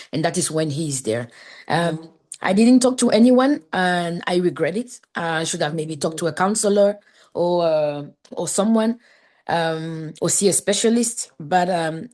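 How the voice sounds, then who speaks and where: A woman from Ghana, a podcast guest speaking earnestly over a video call, halting often with fillers and restarts. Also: on the low side, darkish tone. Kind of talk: conversational interview.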